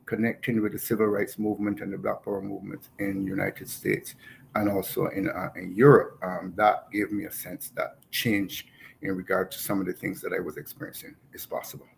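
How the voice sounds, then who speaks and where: A man, giving thoughtful, measured personal testimony as an activist on a panel. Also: low-pitched, lively intonation, vocal fry, slight background noise, poor audio. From Barbados. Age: 70s.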